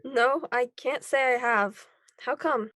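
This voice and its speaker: A woman playing a calm, reassuring superhero team leader in a table read of a scripted drama. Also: sits high, takes it slowly, no echo, clean studio sound. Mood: friendly, businesslike.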